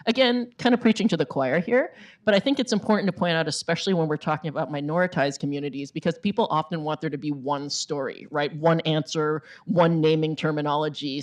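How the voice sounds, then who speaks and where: A woman author giving a conversational book talk in an informal way, often checking in with "right?". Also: sits low. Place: bookstore event before an audience.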